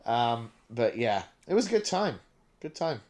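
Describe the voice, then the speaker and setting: British guy, podcast co-host, just chatting away, medium pitched voice. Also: very animated, a little slow, a little mellow. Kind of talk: casual chat.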